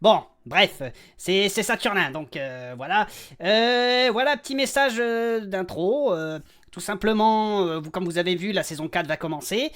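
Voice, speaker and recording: A performer playing a grumbling, excitable, cowardly adventurer in a comic fantasy audio drama, rambling and whining. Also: medium pitch, unhurried. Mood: awkward, grumbling. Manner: rambling, hesitant, whiny bluster. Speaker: comic character in a French fantasy audio fiction. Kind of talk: comic audio fiction acting.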